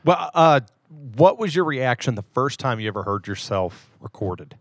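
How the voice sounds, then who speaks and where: A man's voice: mid-range voice, animated, moderate pace, no room sound, clean studio sound. A man co-hosting a podcast in casual, folksy banter, feeling his way through sentences and repeating phrases. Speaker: podcast co-host.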